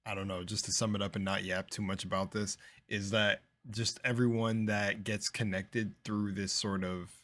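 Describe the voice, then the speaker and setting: A young man with a slight lisp, hosting a casual reaction video, rambling with lots of fillers and jumping between loud bursts and quiet mumbles. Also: fairly low pitch, level tone, moderate pace, hardly any pauses.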